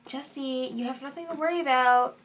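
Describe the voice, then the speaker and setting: A woman's voice: high-pitched, fairly even intonation, normal speed. A woman playing a firm, unimpressed female reality show contestant in a comic skit, matter-of-fact in her refusals.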